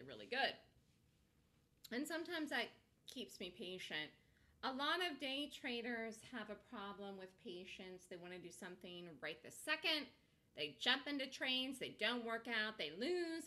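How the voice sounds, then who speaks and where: A woman's voice: mid-range voice, bright, forceful tone. A woman host giving informal, conversational trading commentary, thinking aloud, asking rhetorical questions and repeating words for emphasis. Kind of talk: informal market commentary over screen-shared charts.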